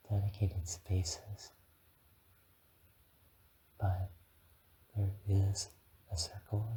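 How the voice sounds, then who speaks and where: A man voicing a gentle, reassuring dragon guide in an ASMR guided meditation, soft-spoken and often whispering, in halting phrases. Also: deep voice, a little hiss or hum, rough recording.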